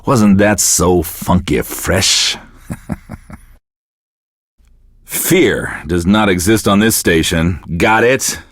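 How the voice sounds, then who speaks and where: Very deep-voiced, bitter radio DJ. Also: male voice, slow, no room sound, clean studio sound, full character voice. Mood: wry. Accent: American. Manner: deadpan, sardonic, profane. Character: bitter, self-pitying divorced middle-aged male radio DJ. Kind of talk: casual radio DJ patter.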